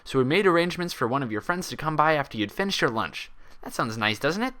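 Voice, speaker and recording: Storybook pony physician played by a man: falsely soothing, chatty, condescending, bright lively delivery. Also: fairly high pitch, fast talker, pitched above the performer's own voice. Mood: chatty, placating. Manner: chatty, placating, chuckling.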